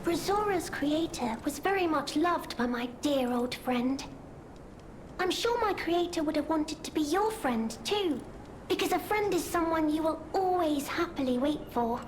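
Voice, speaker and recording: A gentle mechanical girl from a video game, with a high, innocent voice. She speaks tenderly and simply, wistful and devoted. Clear noise sits behind her. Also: lively intonation, measured pace. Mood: tender, hopeful. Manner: earnest, simple, tender phrasing.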